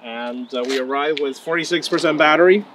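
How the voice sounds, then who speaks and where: A man in his thirties chats to camera at a roadside charging stop, relaxed and plain-spoken, his voice sitting a touch high. He fills pauses with "uh" as he explains. Outdoor ambience and passing traffic are clearly audible behind him. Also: low-quality recording. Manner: relaxed, frequent "uh" fillers, matter-of-fact. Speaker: EV road trip vlogger. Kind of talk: casual travel vlog to camera. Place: outdoors at highway rest stops and charging stations. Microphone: camera mic, filmed at close to mid range. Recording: outdoor camera audio with clear background noise.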